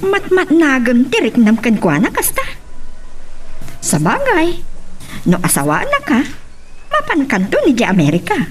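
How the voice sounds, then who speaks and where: A woman's voice: sits high, huge pitch swings, a little slow, pauses often, recorded from a distance, a little hiss or hum, exaggerated voice. Casting: actress as prying young female friend, audio love drama, swooping intonation, slightly off-mic. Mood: concerned, probing. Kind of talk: Ilocano audio drama acting.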